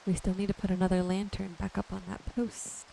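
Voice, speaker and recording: A woman giving gentle, soothing ASMR commentary over her gameplay in an unhurried way. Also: medium pitch, completely flat delivery, creaky, a little hiss or hum, poor audio. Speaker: gaming video creator. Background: music and rain sounds.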